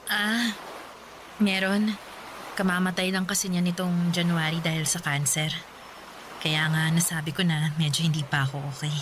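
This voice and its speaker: A woman playing an apologetic, frank former girlfriend in a Tagalog radio drama, speaking softly and conversationally with hesitant pauses. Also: lowish voice, level tone, background noise, close to an everyday voice. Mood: subdued, gentle. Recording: music bed behind the voice.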